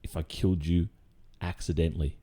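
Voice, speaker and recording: A man with an Australian accent playing an obsessive, creepily cheerful kidnapper boyfriend in an intimate ASMR roleplay, halting and falsely reassuring, with nervous chuckles. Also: low-pitched, flat delivery, mellow. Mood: relieved, awkwardly amused.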